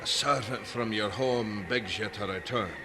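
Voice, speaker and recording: A man's voice: lowish voice, normal speed, noisy background, natural voice. A man playing an apologetic lord bearing a message in an audio drama.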